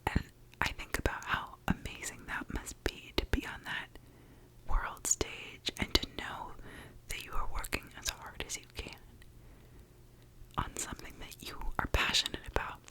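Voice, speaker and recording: A woman's voice: moderate pace, low-quality recording. A young woman whispering in her apartment, chatting casually as she tries out makeup, with lots of "like" and the odd swear word. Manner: whispers the whole time. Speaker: ASMR vlogger. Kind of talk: whispered ASMR makeup chat.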